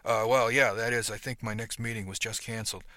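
A man's voice: fairly low pitch, steady tone, brisk, pushed and bright, no room sound. A man voicing a blustering middle-aged male operations manager who is brusque, condescending and prone to stammering, in a story. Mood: flustered, stammering.

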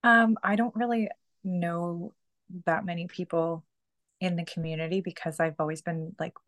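A woman's voice: lowish voice, steady tone, normal speed, a bit bright, dry recording, clean studio sound. A woman in her thirties, a podcast guest talking casually and loosely, full of "like" and "you know.". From Canada. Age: 30s. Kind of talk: casual conversational storytelling.

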